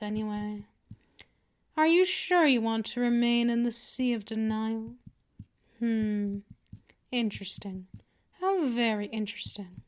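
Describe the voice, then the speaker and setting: Woman playing a slow, high-voiced vampire mistress. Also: close to an everyday voice. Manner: slow, coaxing, condescending, drifting into whispers. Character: dominant seductive female vampire mistress, patient, teasing, possessive. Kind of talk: scripted ASMR roleplay, intimate address to listener.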